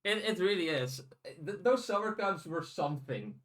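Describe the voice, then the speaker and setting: A man's voice: high voice, expressive, normal speed, a bit bright. A man hosting a podcast in a casual, informal and blunt chatting style. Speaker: podcast host.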